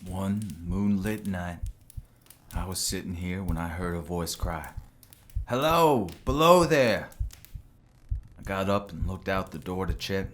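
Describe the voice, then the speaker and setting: Man acting a low-voiced, haunted old railway signalman. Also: slow, long pauses. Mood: haunted, urgent. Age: older. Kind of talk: audio drama acting, telling a ghostly tale.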